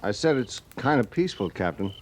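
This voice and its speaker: A man's voice: lowish voice, very animated, a little fast, a little hiss or hum. A man acting an earnest, deferential, slightly naive junior cavalry officer in a 1950s radio western, polite and tentative. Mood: reflective, curious. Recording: vintage radio drama, music behind.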